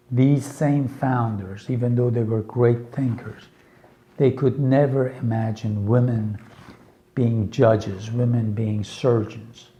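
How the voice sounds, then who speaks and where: Man, Farsi native speaker, slow deliberate pace, hopeful earnest lecturer, frequent pauses. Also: on the low side, mellow. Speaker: teacher. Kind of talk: slow lecture for English learners.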